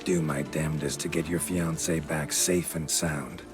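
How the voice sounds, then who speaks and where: A very deep-voiced man as a stoic monster hunter from a fantasy game. He is gravelly and deadpan, speaking in terse, clipped phrases over clearly audible music. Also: moderate pace. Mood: businesslike, stoic. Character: gruff, stoic male monster hunter for hire. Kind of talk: video game character acting.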